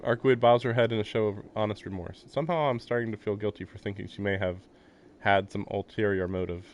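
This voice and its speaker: A male live streamer with a somewhat low voice gives casual game commentary. He rambles and muses in a jokey way, moving between reading narration and his own remarks. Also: steady tone, quick pace, a little hiss or hum.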